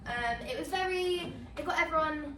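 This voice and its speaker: Bubbly high-voiced young woman. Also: moderate pace, bright, forceful tone. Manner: bubbly, cheering. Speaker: student. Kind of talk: casual group chat. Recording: echoey room, far mic, clear noise.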